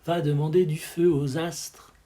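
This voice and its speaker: A man with a breathy, husky voice reciting poetry expressively, in measured dramatic phrases that often sink to a hush. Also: a little high, level tone. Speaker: poetry reader.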